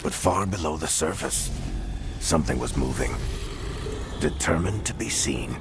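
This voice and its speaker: A man playing a grave storyteller narrating a fantasy game tale in dramatic scripted style, with a very deep, gravelly voice. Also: lively intonation, a little slow, very noisy, full character voice. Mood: grave, ominous. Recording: music under the voice.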